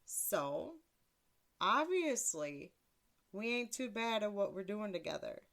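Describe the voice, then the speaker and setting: A woman in her thirties with a deeper voice, hosting a casual solo podcast in a blunt, crude, self-mocking way and laughing often. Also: very animated, slow, a bit bright. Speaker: podcast host.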